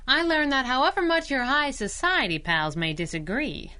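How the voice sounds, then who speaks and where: A sassy young chauffeur sidekick in a vintage-style radio adventure. Her voice sits fairly high with a bright, forceful edge. She teases wryly and swings expressively across her range. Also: lively intonation, smooth. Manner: wry, sassy, brisk. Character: plucky, teasing young lady chauffeur sidekick. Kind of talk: 1930s-style radio drama acting.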